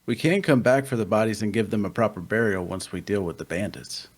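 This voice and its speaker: A man's voice: fairly low pitch, fairly quick, close to an everyday voice. A man playing a big, easygoing warrior who is casual and blunt, in a fantasy drama table read.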